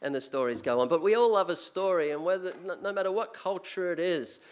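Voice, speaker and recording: A man's voice: high voice, fairly quick, clean tone. An older man with an Australian accent gives a sermon from a church pulpit, chatty and full of restarts and fillers. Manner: conversational, many restarts and fillers. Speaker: guest preacher. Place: church sanctuary, speaking at a pulpit. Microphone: pulpit mic.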